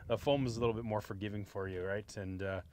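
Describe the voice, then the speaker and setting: A man's voice: fairly low pitch, fast talker, slight background noise. A man in his forties hosting a fishing show, chatting casually about his gear outdoors on a boat with a lapel mic. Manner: relaxed and chatty, tags lines with "right?".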